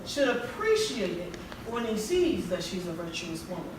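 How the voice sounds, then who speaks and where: A woman's voice: fairly low pitch, very animated, normal speed. Echoey stage actress as a preachy woman counselor. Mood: earnest, instructive. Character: encouraging female self-help counselor addressing her group. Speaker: counselor and author leading a support group. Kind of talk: stage play acting. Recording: echoey hall, far mic, clear background noise.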